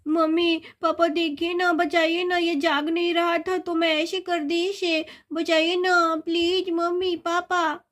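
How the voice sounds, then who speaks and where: A woman's voice: very high-pitched, flat delivery, slow, few pauses, a bit bright, heavily acted. A woman voicing a mischievous, whiny young girl in a children's cartoon story. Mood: pleading.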